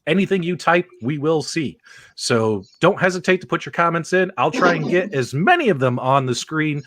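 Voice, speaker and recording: Lively, upbeat male podcast host. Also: fairly high pitch, moderate pace. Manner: energetic, upbeat, hypes the audience. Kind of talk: live podcast hosting.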